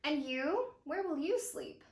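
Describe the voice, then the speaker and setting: A woman's voice: high-pitched, lively intonation, slow, distant mic, voice pushed up. A woman voicing a practical mother from a picture book, questioning in a pointed, doubtful way. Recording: roomy echo of a home interior.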